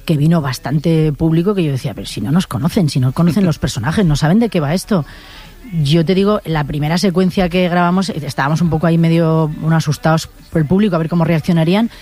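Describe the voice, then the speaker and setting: Woman, radio guest, witty, quick comebacks. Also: sits low, warm tone. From Madrid, Spain. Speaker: actress guest. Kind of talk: casual radio chat.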